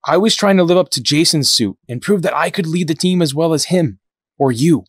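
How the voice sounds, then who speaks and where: A man's voice: on the high side, animated, a little fast, slightly rough, no echo, studio quality. A man acting in an audio drama as a sincere, remorseful young team fighter, speaking earnestly.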